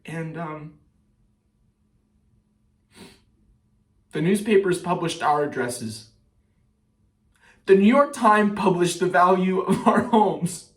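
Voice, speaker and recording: Man acting a haunted, halting juror, echoey room. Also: high voice. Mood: distressed. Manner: halting, with pauses and repeated phrases. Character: shaken male trial juror, hounded, guilt-ridden and bitter. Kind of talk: dramatic stage monologue, testimony-like. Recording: roomy echo, distant mic.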